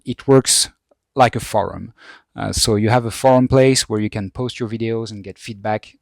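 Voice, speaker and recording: A man's voice: fairly low pitch, creaky, no echo. A man in his thirties sharing feedback as a former student, talking with frequent ums and restarts. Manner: hesitant, many ums and restarts. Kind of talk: casual testimonial.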